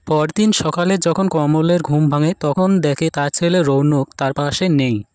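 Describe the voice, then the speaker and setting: A man's voice: fairly high pitch, fairly even intonation, unhurried, a little mellow, clean tone, no room sound. A man reading scripted storybook narration for cartoon tales.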